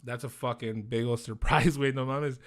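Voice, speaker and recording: A man's voice: medium pitch, fairly even intonation, normal speed. Bay guy hosting his podcast, rambly and jokey, switches spanish all the time. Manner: joking, rambling, mixes Spanish and English. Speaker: podcast host. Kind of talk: casual podcast interview.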